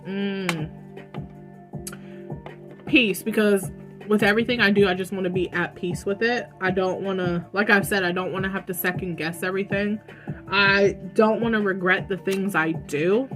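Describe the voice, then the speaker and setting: A young woman with a loud voice answering viewer questions in a casual vlog, chatty and saying "like" a lot. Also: medium pitch, steady tone, normal speed, faint music behind. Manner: chatty, says "like" often. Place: room at home with dogs.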